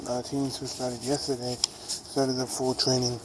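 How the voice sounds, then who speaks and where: A man's voice: mid-range voice, monotone, measured pace, very noisy. A young man with a congested, nasal voice rambles through a casual baseball vlog outdoors, with many restarts and fillers. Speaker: vlogger. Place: outdoors near buildings. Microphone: phone camera held low.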